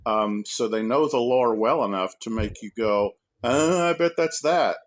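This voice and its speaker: A man in casual discussion as a commentator, haltingly thinking aloud as he goes. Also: mid-range voice. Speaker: guest scholar and commentator.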